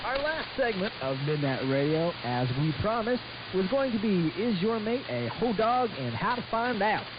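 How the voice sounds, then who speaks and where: Male comedy co-host, lively swooping pitch, muffled shortwave with thick static. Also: moderate pace, few pauses. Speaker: radio show co-host. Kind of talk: loose comedic talk radio banter. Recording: hissy, muffled shortwave radio recording.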